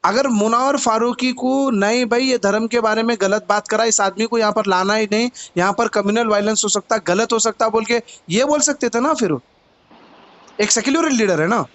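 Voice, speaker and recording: A man's voice: sits high, normal speed, fairly bright tone. A man with a Hyderabadi accent, a party activist arguing his case insistently and repeating himself in a phone interview.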